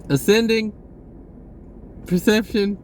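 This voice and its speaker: Slow-talking man, rambling casually over background noise. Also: sits high, steady tone, a little mellow. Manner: halting, drifting and informal. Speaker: spiritual vlogger. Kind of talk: casual rambling monologue on spirituality.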